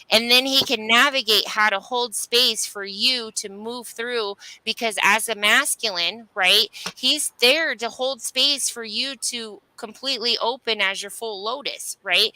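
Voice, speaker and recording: A woman's voice: a little high, bright, forceful tone, smooth, dry recording, low-quality recording. A woman giving a casual, coaching-style spiritual reading, often checking in with short tag questions. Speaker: spiritual reader, co-host.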